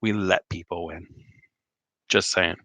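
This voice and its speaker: Chatty man, bright, slightly hoarse. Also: sits low, steady tone, slow, no echo. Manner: rambling, chatty, many fillers and restarts. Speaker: RV vlogger hosting a live chat. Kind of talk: casual live-stream chat.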